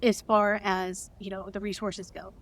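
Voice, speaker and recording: Enthusiastic woman, mid-pitched, many ums. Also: level tone, a little slow, fairly bright tone. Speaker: guest, audio drama co-creator and sound designer. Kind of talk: casual interview answer.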